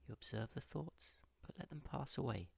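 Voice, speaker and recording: A man from India guiding a meditation as a yoga teacher, with slow, calm, hushed phrases and a breathy, husky voice that trails off toward a whisper. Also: mid-range voice. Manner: slow, calm, hushed instruction in short pauses-separated phrases. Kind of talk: guided meditation.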